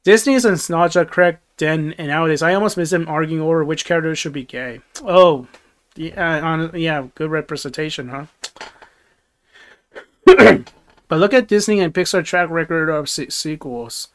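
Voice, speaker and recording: A man's voice: fairly high pitch, normal speed. A young man hosting a casual livestream from a small bedroom, answering viewer comments with lots of restarts and chuckles into a headset mic. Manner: rambling, many restarts and chuckles. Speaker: livestream host. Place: small dim bedroom studio. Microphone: gaming headset.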